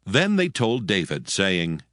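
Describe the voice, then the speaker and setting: Low-voiced man narrating scripture. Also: huge pitch swings, a bit bright, dry recording, clean studio sound. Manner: solemn, measured.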